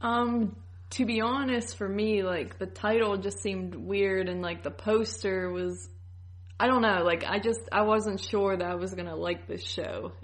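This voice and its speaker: A woman's voice: medium pitch, fairly even intonation, a little slow. A woman co-hosting a podcast in casual chat, talking informally with slang and tentative phrasing. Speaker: podcast co-host.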